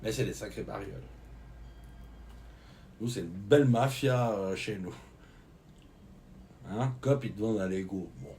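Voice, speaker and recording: A man's voice: lowish voice, a little slow, gravelly, long pauses, warm tone, a little hiss or hum. A man, joking and rambling with lots of fillers as co-host in a casual live-stream chat.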